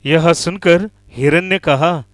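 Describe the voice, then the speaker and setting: A man's voice: fairly high pitch, slow pace, dry recording, studio quality. A man narrating a scripted children's animal story.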